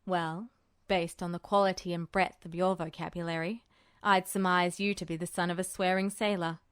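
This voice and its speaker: A woman voicing a smug, pompous man with a formal, wordy, measured way of talking in an audiobook-style story. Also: lowish voice, little pitch movement, clean tone, no echo, clean studio sound, pitched below the performer's own voice, natural voice. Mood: smug, teasing. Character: smug, pompous, teasing adult man, highbrow and businesslike.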